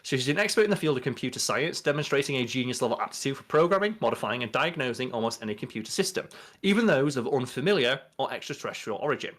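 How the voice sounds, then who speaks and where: A young man with a mid-pitched voice co-hosts a podcast from a small room. He moves between reading aloud and casual, sweary commentary, keeping it informal throughout. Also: animated, fast, fairly bright tone. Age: young adult. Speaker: podcast co-host. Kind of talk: casual podcast chat, reading out wiki entries. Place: small bedroom.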